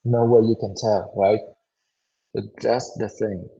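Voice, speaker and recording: Hoarse, slow-talking male lecturer. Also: on the low side, flat delivery, warm tone. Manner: halting, with many repeats and fillers. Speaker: university lecturer. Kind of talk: online lecture. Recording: dull lo-fi audio.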